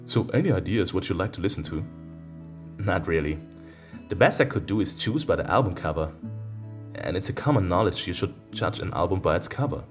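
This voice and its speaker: A man reading a visual novel aloud as a let's-play host, breaking off into expressive, teasing reactions, with guitar music behind him. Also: sits low, fairly quick.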